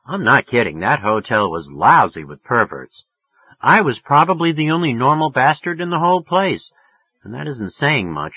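Husky man narrating, lively and wry. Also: medium pitch, lo-fi sound. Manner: confiding, slangy, wry storytelling. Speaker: audiobook narrator. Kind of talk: first-person novel narration.

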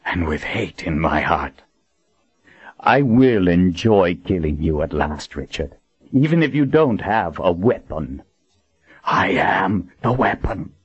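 A man voicing a hateful male warrior who shouts a threat slowly. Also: deep voice, big pitch swings, breathy edge, dry recording, exaggerated voice. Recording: dull lo-fi audiobook recording.

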